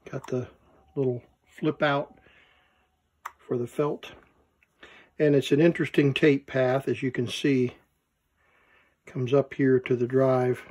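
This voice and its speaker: A man who collects vintage gear gives a casual, unscripted hands-on demonstration, thinking aloud in halting short fragments. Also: medium pitch, little pitch movement, darkish tone, breathy edge. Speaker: vintage electronics collector presenting his item.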